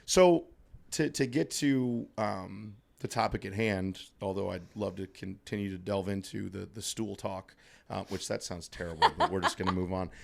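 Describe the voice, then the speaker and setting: A man in his thirties co-hosts a relaxed podcast, talking into a studio mic. His voice sits low and carries heavy fry, roughened further by allergies into a scratchy hoarseness. He keeps things upbeat and jokey, rambling along with plenty of ums. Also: normal speed. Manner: upbeat, joking, rambling with fillers. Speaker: podcast co-host. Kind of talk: casual podcast chat. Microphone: studio microphone.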